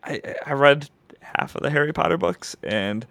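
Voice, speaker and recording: A man's voice: a little high, lively intonation, normal speed, vocal fry, fairly bright tone, no echo. Dry-recorded man, casual joking banter. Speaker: podcast co-host.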